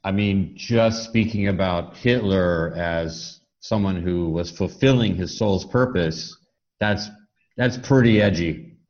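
A man's voice: deep voice, slow, darkish tone. A man hosting a podcast, talking casually in an interview. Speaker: podcast host. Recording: dull, lo-fi audio.

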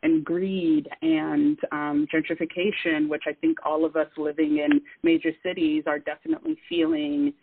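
Radio guest with an even mid-range voice, earnest and measured, heard on a dry phone-like line. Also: medium pitch, gravelly, a little hiss or hum. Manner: earnest, measured, with many fillers. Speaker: guest artist. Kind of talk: casual interview talk.

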